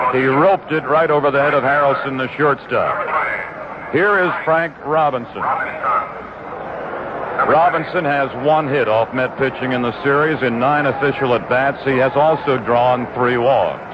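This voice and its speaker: Guy doing radio baseball play by play, steady and stat-heavy, muffled old broadcast with lots of noise. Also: mid-range voice. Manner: steady, measured delivery packed with statistics. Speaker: play-by-play announcer. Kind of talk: live radio baseball commentary.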